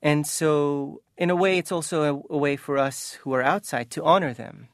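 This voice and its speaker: A man's voice: fairly high pitch, normal speed, no room sound, clean studio sound. A man from Iran speaking as an author and interview guest, thoughtful, earnest and impassioned, sometimes reading from his book.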